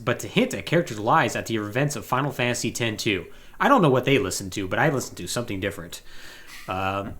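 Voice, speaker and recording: A man with a bright, forceful voice and a middling pitch that swings around a lot. He talks casually as a podcast co-host, rambling through explanations with joking exasperation. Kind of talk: casual podcast talk explaining game storylines.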